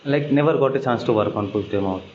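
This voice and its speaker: A man giving halting, hesitant answers as a candidate in a technical interview. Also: medium pitch, a little mellow. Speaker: job candidate. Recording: dull, echoey, noisy call recording.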